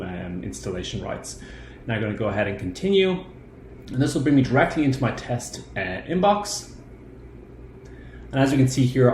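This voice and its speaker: A man in his thirties walks through a software product step by step in an explanatory way. His voice sits at a middling pitch. It is recorded at a computer in an echoey room with faint noise behind it. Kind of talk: screen-share tutorial walkthrough. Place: indoor room. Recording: roomy echo, faint background noise.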